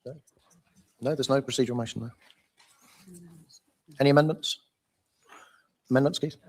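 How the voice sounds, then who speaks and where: A man's voice: mid-range voice, steady tone, moderate pace, a little mellow. A man chairing a council meeting, formal but hesitant, often repeating his words.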